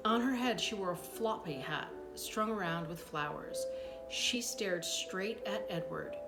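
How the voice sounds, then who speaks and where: A woman reading a children's book aloud as an expressive storyteller, with dramatic pauses and brief asides. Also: mid-range voice, loud music behind the voice. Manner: animated, dramatic pauses, acts out dialogue.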